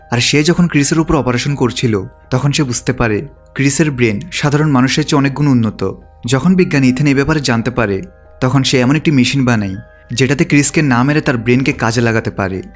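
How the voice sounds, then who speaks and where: Man, scripted film-recap narration, middle-pitched voice, background music. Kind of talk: scripted narration, movie story explainer.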